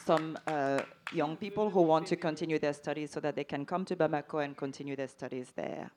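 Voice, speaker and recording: A woman interpreting live on a panel, summarizing each answer and backing it with soft "mm" sounds. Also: low voice, a little fast, noisy background.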